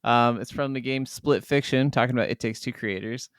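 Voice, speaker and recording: A man's voice: medium pitch, brisk, clear, resonant tone, dry recording, clean studio sound. A man co-hosting a podcast, chatting casually about video games in a rambling, enthusiastic way. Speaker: podcast co-host.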